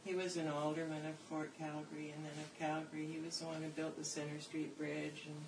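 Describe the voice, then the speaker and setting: An older woman from Canada casually telling family stories in an animated, repetitive storytelling way. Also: deep voice, a little fast. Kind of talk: casual reminiscing about family history. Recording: echoey, noisy room, distant microphone.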